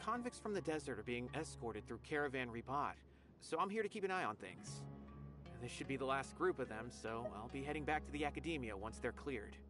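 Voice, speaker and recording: A man's voice: medium pitch, lively intonation, a little fast, natural voice. Game role: man as dutiful officer, mid-range voice, articulate and courteous, music underneath. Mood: earnest, relieved. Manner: polite, articulate, orderly. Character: earnest, dutiful male officer giving a report. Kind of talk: scripted video game acting.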